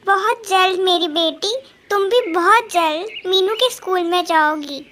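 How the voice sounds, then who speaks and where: A woman's voice: very high voice, a little slow, bright, forceful tone, a little hiss or hum, heavily acted. A woman voicing a hardworking, anxious mother bird in a cartoon story, speaking in an earnest, pleading manner. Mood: reassuring. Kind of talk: Hindi cartoon story dialogue.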